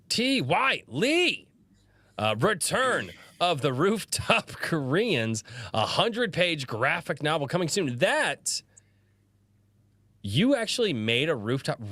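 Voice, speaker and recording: Lively, rambling man hosting a podcast. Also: fairly high pitch, no room sound. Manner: animated, rambling, quick to laugh. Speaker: podcast host. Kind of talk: casual livestream chat.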